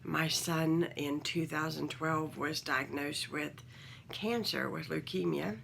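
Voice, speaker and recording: A woman in her forties talks to her channel's viewers from home, her morning voice heavily croaky and full of fry. She rambles gently, with frequent ums and pauses. A faint hiss of noise sits behind her. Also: sits low. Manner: warm, rambling, many ums. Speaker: channel host. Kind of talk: casual talk to camera.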